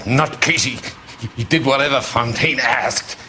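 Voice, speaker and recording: A man's voice: mid-range voice, moderate pace, sharp tone, a little hiss or hum. An actor playing a frightened, wounded man in a video game cutscene, stammering and frantic, with a strained voice. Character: desperate wounded man begging for a doctor. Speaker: game character.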